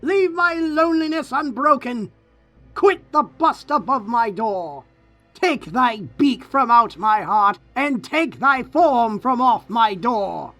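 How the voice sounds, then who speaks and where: A man's voice: extremely high pitch, expressive, slow, sharp tone, soft background music, voice pushed up, heavily acted. A man playing an anguished, haunted, grieving man in a gothic poetry reading, crying out in impassioned, theatrical pleas. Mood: furious shrieking. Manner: impassioned, pleading, theatrical cries.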